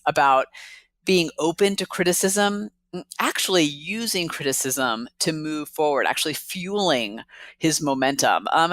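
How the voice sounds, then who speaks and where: Chatty podcast host, middle pitch, upbeat, dry close mic, laughs easily. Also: a bit bright, dry recording. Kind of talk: casual interview intro and chat.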